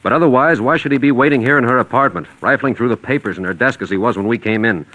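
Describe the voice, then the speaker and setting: An American actor playing a shrewd, self-assured private detective in a 1940s radio crime drama. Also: male voice, mid-range voice, quick pace, sharp tone, lightly acted, rough recording. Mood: brisk, confident. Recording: vintage 1940s radio recording.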